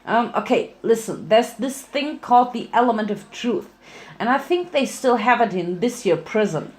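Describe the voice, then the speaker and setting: A woman's voice: on the high side, expressive, moderate pace, natural voice. A woman voicing a plain-spoken, practical young female farm pony with folksy phrasing. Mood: matter-of-fact.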